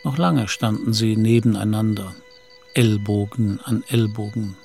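A man's voice: fairly low pitch, fairly even intonation, measured pace, gravelly, a little mellow, soft background music. A man narrating a literary radio play from a script.

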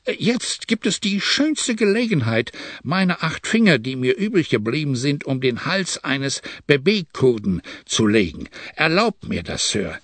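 A man reading an excitable lord, stammering over hesitations as he talks. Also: fairly high pitch, dry recording, pitched above the performer's own voice. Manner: excitable, hesitant with "äh", English interjections. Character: eccentric, bumbling English lord, older man. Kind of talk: audiobook character reading.

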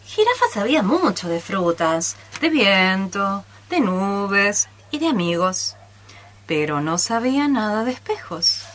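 A woman's voice: medium pitch, big pitch swings, takes it slowly, fairly bright tone. A woman narrating a children's radio play in a storytelling style.

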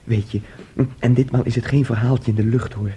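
An actor in a Dutch radio play playing a gentle, childlike, dreamy young man with a soft, breathy voice and naive manner. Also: medium pitch, steady tone, fairly quick. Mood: earnest. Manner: boyish, gentle, naively imaginative. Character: Thomas, soft, childlike, dreamy, easily swayed young brother.

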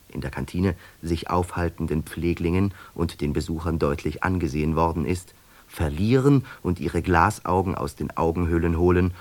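A man narrating a radio play in a measured, detached way through long winding sentences. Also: deep voice.

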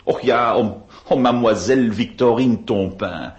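A man's voice: mid-range voice, moderate pace, a little mellow, highs cut off, natural voice. A male actor playing a flirtatious, easily flustered notary in a radio play, confiding and hesitant. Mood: wry, confiding. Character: flirtatious, flustered ladies' man notary telling his story. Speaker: lead actor and narrator. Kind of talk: theatrical radio drama acting.

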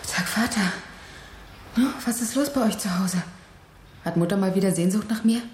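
A woman's voice: mid-range voice, moderate pace, darkish tone, slight background noise, lightly acted. An actress playing an earnest, defiant young factory woman in a radio drama, speaking with intensity. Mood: friendly. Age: young adult. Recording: roomy, echoing interior.

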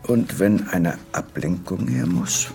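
An actor playing a dry, cynical official musing aloud in a radio drama. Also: male voice, sits low, slow pace, warm tone, soft background music.

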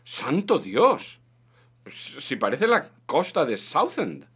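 A man playing a bewildered, doubting ordinary man lost in space in a comic science fiction audiobook, hesitant and questioning, with a husky voice. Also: fairly high pitch, wildly swooping pitch, slow, fairly bright tone, exaggerated voice. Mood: incredulous.

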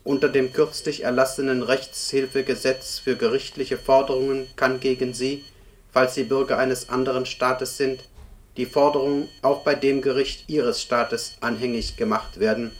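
An actor voicing an impersonal court computer, reading formal official notices in a deadpan, bureaucratic manner in a radio play. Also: male voice, mid-range voice, normal speed, close to an everyday voice. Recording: clearly noisy radio play recording.

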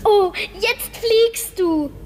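A woman's voice: extremely high pitch, drawn-out words, a little mellow, slight background noise, exaggerated voice. A woman playing a trusting young girl in a radio play, telling her story with dreamy, childlike wonder. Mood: wondering, excited. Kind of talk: German radio play acting, storytelling narration.

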